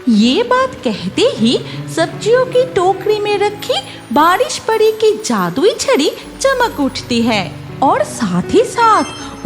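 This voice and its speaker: A woman reading scripted cartoon story narration as the storyteller. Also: high-pitched.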